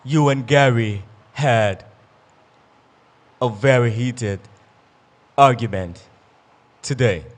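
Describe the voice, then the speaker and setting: A man voicing the unseen, commanding house voice of a reality show, asking questions in a slow, deep way. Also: lightly acted. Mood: probing.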